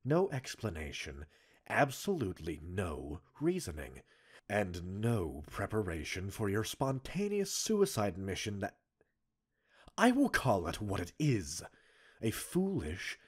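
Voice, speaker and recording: A male actor voices a stern, cold commander in a scripted ASMR roleplay. His deep, raspy voice reprimands a subordinate in formal, measured phrases, swinging widely between low restraint and forceful emphasis. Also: full character voice. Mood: exasperated anger.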